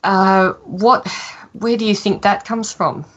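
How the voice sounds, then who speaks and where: A woman's voice: mid-range voice, slow pace, fairly bright tone, highs cut off. A woman hosting a talk show, asking hesitant questions and answering with many short affirmations. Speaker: radio show host. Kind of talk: casual interview chat. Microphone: internet call.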